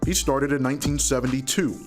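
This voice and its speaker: An American man narrates a sports feature from a script. His voice is mid-pitched for a man, and a music bed plays clearly beneath him. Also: steady tone, quick pace. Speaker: reporter, narrator.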